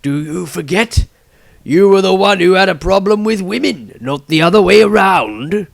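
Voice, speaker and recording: A man voicing an old male wizard patriarch in a storybook, formal, deliberate and sympathetic. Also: sits high, expressive, creaky, no echo, studio quality, voice pushed up. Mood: questioning.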